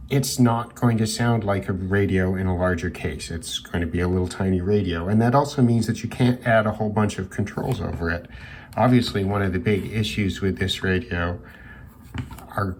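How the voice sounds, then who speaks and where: A man with a low-pitched voice talks through a product review informally. His delivery is measured and thoughtful, with hesitant pauses as he goes. Noticeable noise sits behind his voice. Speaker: radio hobbyist reviewer. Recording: clearly noisy background hiss.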